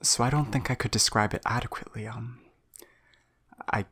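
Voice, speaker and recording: An actor playing a weary, ailing starship crewman in an audio drama, confessing in a halting, subdued and apologetic way. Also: mid-range voice, steady tone, a bit bright, slightly rough. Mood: hesitant, reflective. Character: weary, guilt-ridden ailing male starship crew member confessing.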